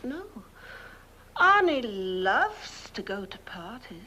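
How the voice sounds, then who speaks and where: An actress playing a fussy, doting, eccentric older sister with a fluttery, genteel manner of chattering in a film. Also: female voice, high-pitched, very animated, slow pace, full character voice. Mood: fussing, doting. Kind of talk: theatrical horror-comedy film acting. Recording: noisy old film soundtrack.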